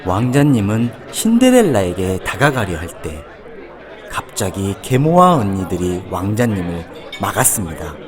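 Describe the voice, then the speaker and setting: A man's voice: low voice, very animated, a little slow, a faint crowd. A man reading a children's fairy tale aloud as a scripted storybook narrator.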